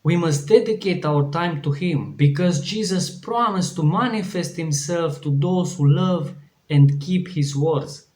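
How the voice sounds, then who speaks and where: Slow, earnest Romanian-accented preacher. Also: male voice, fairly high pitch, a little mellow. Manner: earnest, deliberate, with frequent restarts. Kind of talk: devotional sermon. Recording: echoey room.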